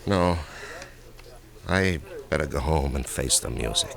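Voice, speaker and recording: Deep-voiced, haunted American salesman. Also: expressive, a little slow, gravelly, over loud music, heavily acted. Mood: wry, resigned. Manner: weary, agitated, questioning. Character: troubled American salesman, war veteran, haunted and jittery. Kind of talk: American radio drama acting. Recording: vintage radio drama recording.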